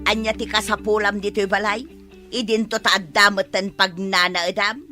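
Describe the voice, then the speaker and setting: A woman's voice: medium pitch, flat delivery, moderate pace, bright, forceful tone, faint music behind, close to an everyday voice. A woman playing a stubborn, quarrelsome old village grandmother in an Ilocano comedy radio drama, with an Ilocano accent. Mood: defiant, grumbling.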